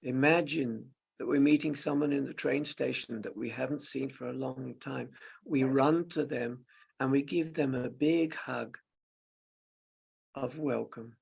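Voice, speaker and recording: A man with a gravelly, husky voice guides a meditation as a teacher, in gentle, unhurried, halting short phrases. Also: medium pitch. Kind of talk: slow guided meditation with long pauses.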